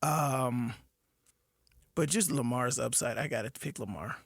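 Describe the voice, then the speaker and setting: A man co-hosting a sports talk radio show, talking with excitement and thinking aloud. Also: medium pitch, unhurried, breathy edge, no echo, studio quality. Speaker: fill-in co-host.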